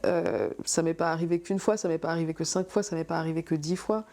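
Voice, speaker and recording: A young woman giving a personal testimony, halting and thoughtful, with many fillers. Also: deep voice, fairly even intonation, dark tone. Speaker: interviewee.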